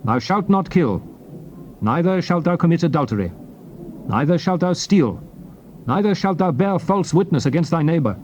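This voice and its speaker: A man giving a solemn, dramatized reading of King James scripture, declaiming it in deliberate phrases. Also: on the high side, lively intonation, a little mellow, lo-fi sound. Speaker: scripture reader. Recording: noisy old cassette tape recording.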